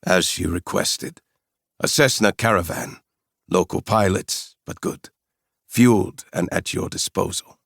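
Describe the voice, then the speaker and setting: A man voicing a gruff, blunt South African mercenary leader in his late forties, with a South African accent and a gravelly voice. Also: sits low, measured pace, dry recording, clean studio sound, exaggerated voice. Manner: blunt, surly.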